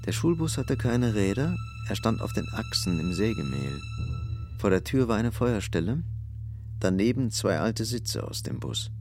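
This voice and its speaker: A man with a low voice reads scripted story narration for a radio drama. Music plays clearly behind him. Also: very animated, moderate pace.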